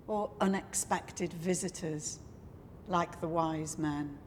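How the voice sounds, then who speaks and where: An older woman, a church minister, giving an address and reading a poem with measured, deliberate phrasing. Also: fairly low pitch, a little mellow, slight background noise. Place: inside a large stone church. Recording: echoey church hall, faint music.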